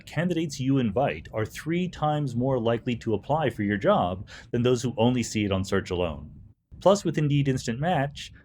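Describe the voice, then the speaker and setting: A man with a medium-pitched voice speaks as a podcast host. His delivery is articulate and explanatory, and there is faint noise in the recording. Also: a little mellow. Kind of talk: podcast hosting, interview talk and ad read.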